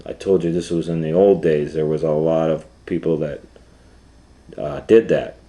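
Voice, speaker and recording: A man reading a children's story aloud as storytime host, pausing to explain things simply in a conversational way. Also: very deep voice, fairly even intonation, dark tone.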